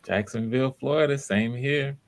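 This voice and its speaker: A man's voice: medium pitch, normal speed. A man hosting live auditions, talking casually in an encouraging, enthusiastic manner as he gives feedback.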